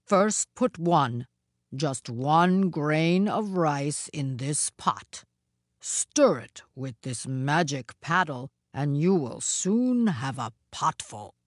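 Lady doing a big bad ogre guy from a folktale, low voice, drags words out, menacing but polite. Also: animated, slow, dry recording, studio quality, heavily acted. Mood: bossy, instructing. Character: wicked, large male Oni ogre, gruffly polite.

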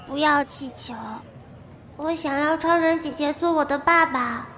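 A woman's voice: high-pitched, steady tone, a little slow, a bit bright. A woman playing a sweet, clingy little girl in an audio drama, with a childlike voice and pleading, simple phrasing. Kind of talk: Chinese audio drama acting.

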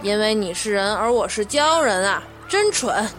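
A woman's voice: medium pitch, lively intonation, normal speed, a bit bright, natural voice. A woman voicing a haughty little merman boy with a cocky, dismissive manner in a Chinese costume radio drama. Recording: music behind the voice.

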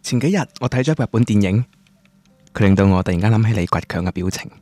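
A man's voice: lowish voice, fast talker, mellow, dry recording, lightly acted. An actor playing a casual young male friend, reading out his message in a Cantonese radio drama. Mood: casual, familiar. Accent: Cantonese from Guangdong.

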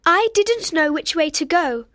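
A woman voicing a lonely, sulky young girl in a storybook reading, speaking softly and plaintively. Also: very high voice, expressive, a little slow, dry recording, studio quality, voice pushed up, heavily acted. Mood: wondering. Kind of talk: audiobook character voice.

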